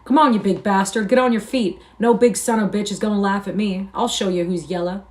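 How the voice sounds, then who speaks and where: A woman's voice: mid-range voice, expressive, fast talker, recorded from a distance, close to an everyday voice. Woman playing a combative little ranch bully. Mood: enraged. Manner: combative, coarse rustic dialect. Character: small hot-tempered bullying man, ranch boss's son.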